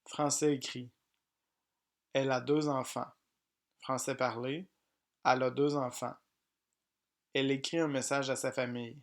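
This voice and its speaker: A man teaching pronunciation, reading each example sentence slowly and plainly, often twice. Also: mid-range voice, level tone. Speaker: French language teacher. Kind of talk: slow pronunciation drill.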